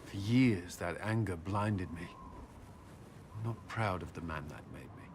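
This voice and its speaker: A man playing an earnest former Templar commander haunted by guilt, speaking haltingly and formally in a dramatic video game. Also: sits low, gravelly, slight background noise. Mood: haunted, troubled.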